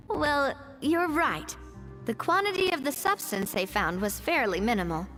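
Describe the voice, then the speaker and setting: A woman voicing a thoughtful, helpful young woman in a game, explaining things in a measured way. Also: sits high, lively intonation, fairly bright tone, faint music behind. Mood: explanatory. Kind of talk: game dialogue acting.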